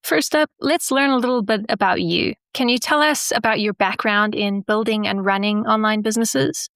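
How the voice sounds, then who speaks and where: A woman hosts a business podcast, reading her intro and questions in a clear, measured voice. Her pitch sits in the middle range, and the sound is close and dry. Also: a bit bright, dry recording. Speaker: podcast host. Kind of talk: scripted intro and interview questions.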